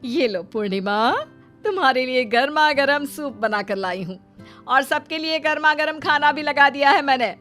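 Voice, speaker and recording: A woman acting as an older, film-mad mother-in-law in a family storybook tale. She is stubborn and theatrical, speaking high and grandly over soft music. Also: animated, moderate pace. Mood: reassuring. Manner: grandly delivers filmi dialogue. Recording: music under the voice.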